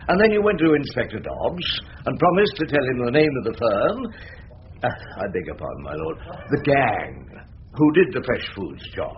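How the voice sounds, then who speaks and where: A man's voice: mid-range voice, big pitch swings, slight background noise, natural voice. Man playing wily old-school defence barrister, pompous and sarcastic, voice swooping about, dull radio recording. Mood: sardonic, needling. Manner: pompous, sarcastic, deliberate cross-examining. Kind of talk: radio courtroom drama acting.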